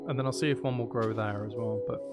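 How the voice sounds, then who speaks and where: A man's voice: medium pitch, steady tone, fast, loud music behind the voice. A man thinking aloud as he plays a building game, chatting casually with musing, playful asides. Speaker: streamer playing a building game.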